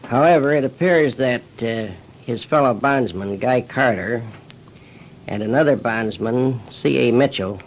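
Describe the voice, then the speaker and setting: Male official, mid-pitched, stiffly formal and halting, giving testimony on dull vintage radio. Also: a little hiss or hum. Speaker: special assistant prosecuting attorney. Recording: dull, band-limited old radio recording.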